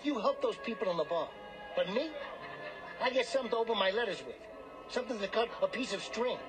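An actor playing a brash, cocky small-time hustler and gambler in a radio drama. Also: male voice, high-pitched, animated, fast talker, music in the background. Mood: irritated, cocky. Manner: wheedling, cocky, streetwise wise-guy talk.

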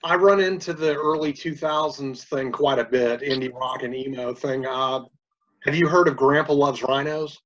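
A man's voice: mid-range voice, fairly even intonation, normal speed, fairly bright tone, muffled recording. A man hosting a casual interview with a band, speaking hesitantly with many fillers.

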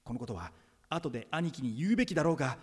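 A man's voice: mid-range voice, animated, fast, husky. A man playing a panicky younger brother in an audio drama, agonizing in an agitated, stammering inner monologue. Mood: anxious, agitated. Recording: long reverberant echo.

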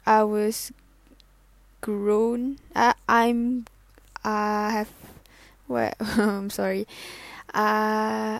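Slow hesitant young woman, gravelly. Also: medium pitch, no room sound. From Malaysia. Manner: hesitant, halting, with many fillers and self-corrections; giggly, self-conscious. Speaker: student podcaster. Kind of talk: casual solo podcast intro.

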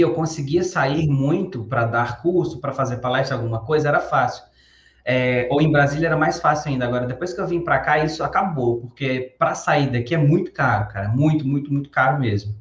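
A man's voice: a little high, fairly quick. Man from Ceará on a roomy, dull line. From Ceará, Brazil. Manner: relaxed, repeats phrases as he talks. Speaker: podcast guest, biomedical course coordinator. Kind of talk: casual podcast chat.

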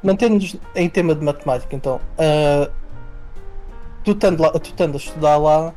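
A male interviewer with a mellow, relaxed tone speaks casually. He phrases things in a halting, searching way, with faint music in the background. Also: on the high side.

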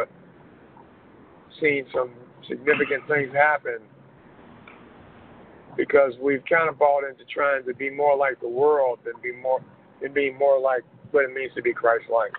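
Earnest man on a phone line. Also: medium pitch. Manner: measured and earnest, with many pauses. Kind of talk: interview conversation. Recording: muffled phone line, faint hiss.

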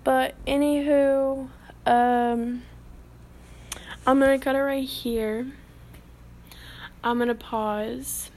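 A woman's voice: high-pitched, fairly even intonation, drawn-out words, long pauses, a little mellow. A woman in her late teens giving casual, rambling commentary as a vlogger, with the odd whispered aside.

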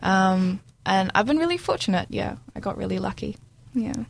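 Young woman from Brunei as a guest, relaxed and talkative, quick to laugh. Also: medium pitch, vocal fry. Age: 20s. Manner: chatty, laughs often, many ums. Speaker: guest, junior doctor. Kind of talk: casual interview chat.

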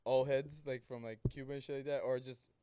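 A man's voice: medium pitch, flat delivery, brisk, mellow, clear, resonant tone. A young man bantering and laughing in a casual podcast chat, dropping in Spanish words.

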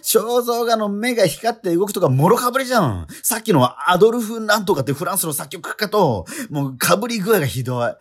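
Incredulous schoolboy straight man, swooping pitch. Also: expressive, husky. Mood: baffled, exasperated. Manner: incredulous, quibbling, rapid retorts. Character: exasperated male schoolmate, the straight man of a comedy duo. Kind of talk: comedic radio drama dialogue.

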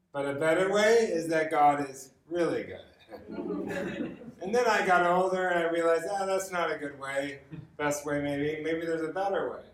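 An American man giving an informal sermon with personal stories, conversational and repeating words for emphasis. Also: on the high side. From Los Angeles, United States. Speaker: guest preacher. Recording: roomy echo, distant from the mic.

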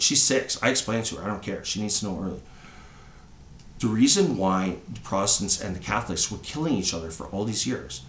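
A man's voice: lowish voice, reverberant. A man in his thirties from Canada, chatting on a podcast, rambling and tagging on "right?" again and again. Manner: rambling, says "right?" often. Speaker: podcast co-host. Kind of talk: casual chat.

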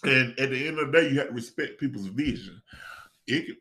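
A man's voice: medium pitch, brisk. An American man chatting casually as a stage actor, telling stories animatedly and repeating himself for emphasis. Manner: animated, acts out quoted dialogue, repeats phrases for emphasis. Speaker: stage play actor, panel guest. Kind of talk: casual group chat, storytelling.

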